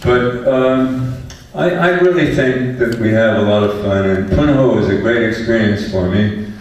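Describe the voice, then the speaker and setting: An older man, a panelist and former math teacher, telling rambling, chuckling stories to an audience in a loud voice. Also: mid-range voice, normal speed. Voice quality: fairly loud voice. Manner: chuckling, rambling, often restarts his sentences. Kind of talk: relaxed anecdotal storytelling to an audience. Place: large echoey event hall with a live audience. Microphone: distant microphone. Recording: echoey hall, distant mic, faint hiss.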